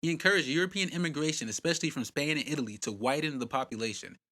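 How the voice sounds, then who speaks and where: Bright, punchy male documentary narrator. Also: fairly high pitch, very fast. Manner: punchy, dramatic, rhetorical questions. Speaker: host and narrator.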